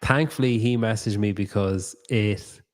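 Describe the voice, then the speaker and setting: Male podcast host, lowish voice, casual and unpolished, swears freely. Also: a little slow, darkish tone. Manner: loose, swears casually, thinks aloud. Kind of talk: casual interview chat. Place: new studio with echo.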